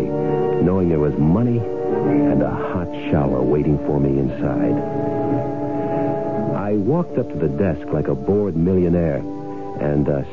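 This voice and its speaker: An actor playing a broke, cocky schemer in a radio drama, with a wry, hardboiled, breezy delivery, both in dialogue and first-person narration. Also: male voice, very deep voice. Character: broke, cocky male schemer who faked his death. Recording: tinny radio broadcast with loud music.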